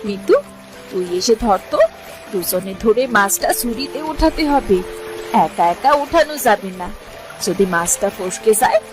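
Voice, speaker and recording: A woman's voice: medium pitch, lively intonation, unhurried, over music, close to an everyday voice. A woman voicing a poor, caring village mother in a cartoon, with a homely, exclamatory way of talking. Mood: amazed, urging. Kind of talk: cartoon voice acting.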